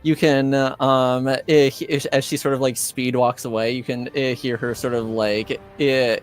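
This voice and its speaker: A man improvising narration as game master of a tabletop game, halting and thinking aloud as he goes. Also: medium pitch, little pitch movement, smooth, faint music behind.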